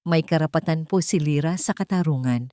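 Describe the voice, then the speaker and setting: A woman's voice: low-pitched, a little fast, dark tone, dry recording, clean studio sound, lightly acted. A woman with a Filipino accent voicing a timid but brave young waitress, polite and earnest, in a romance story. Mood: firm, brave.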